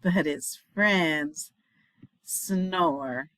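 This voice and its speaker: Slow, expressive woman reading a picture book. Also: sits low, no room sound. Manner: slow, dramatic delivery with hushed refrains. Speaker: storybook reader, teacher. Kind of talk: expressive children's picture book read-aloud.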